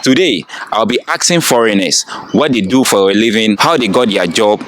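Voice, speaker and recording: A man's voice: on the low side, animated, moderate pace, fairly bright tone, a little hiss or hum. A man hosting a street interview vlog, greeting viewers and asking questions in an upbeat way. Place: city street outdoors.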